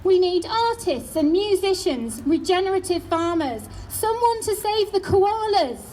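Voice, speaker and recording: Woman, high voice, earnest rally speaker outdoors, light background noise. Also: animated. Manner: earnest, slows down for emphasis. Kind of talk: rally speech.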